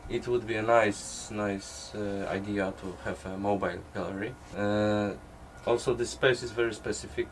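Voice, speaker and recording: A man's voice: lowish voice, steady tone, moderate pace, few pauses. A man with a Polish accent, chuckling often as he answers casually in an interview. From Poland. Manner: chuckles often while talking. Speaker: artist and gallery co-runner being interviewed. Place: inside a small old caravan. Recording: faint background ambient noise.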